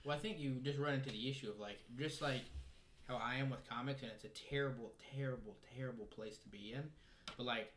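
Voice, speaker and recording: A fast-talking man chats casually as a podcast co-host. He is informal and opinionated. The sound is echoey, with faint noise behind him. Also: mid-range voice, fairly bright tone. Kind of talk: casual panel chat about pop culture.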